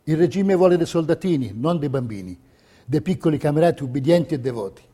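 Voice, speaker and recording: A man narrating a scripted documentary theatre piece in a measured, declamatory manner. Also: on the high side, lively intonation, mellow, breathy edge. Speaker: stage narrator.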